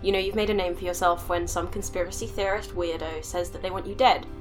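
A woman's voice: on the low side, steady tone, quick pace, faint music behind, natural voice. Woman voicing a stammering, flustered scientist. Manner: nervous, stammering, sarcastic. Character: flustered young woman scientist stuck on a strange train. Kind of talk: audio drama acting.

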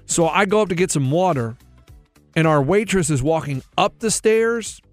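A man with a fairly high voice hosts a casual comedy sports podcast. He is excitable, circles back over his phrases and acts out both sides of conversations. The sound is close and very dry. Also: normal speed, no echo, faint music behind. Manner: excitable, repeats himself, acts out dialogue. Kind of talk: casual comic banter and storytelling.

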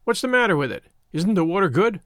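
A man's voice: high voice, big pitch swings, quick pace, fairly bright tone, no room sound, clean studio sound. A man voicing a rude, scornful English schoolboy scout in a storybook reading, brash and dismissive. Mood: defiant.

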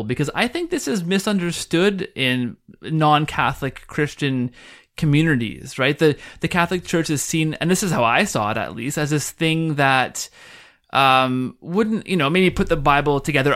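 A man's voice: a little high, a bit bright. A man hosting a podcast, speaking in a casual conversational interview style. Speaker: podcast host.

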